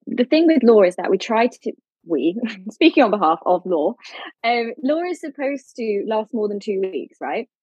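A woman's voice: medium pitch, dark tone, breathy edge, no room sound, lo-fi sound. A woman speaking as a legal expert guest, explaining things informally and plainly with everyday examples.

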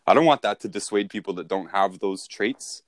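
A man's voice: on the low side, normal speed, dry recording, studio quality. A man speaking as a sales mentor in an informal training talk, with an instructive, conversational and emphatic way of explaining.

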